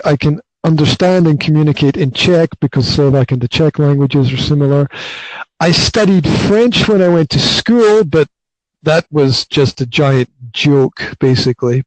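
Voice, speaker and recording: A man's voice: on the high side, a little mellow, dry recording, rough recording. A man coaching over a video call from home, talking casually and often repeating words as he restarts. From Britain. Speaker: career and language coach. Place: home with kids nearby.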